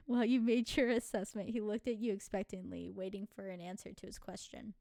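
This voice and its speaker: A woman streams a story game in a very dry, close recording. She reads narration at a middling pitch and slips into informal, giggly asides in her own voice. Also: little pitch movement, normal speed, creaky, darkish tone, no echo. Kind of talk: let's-play, reading visual novel narration aloud with casual asides.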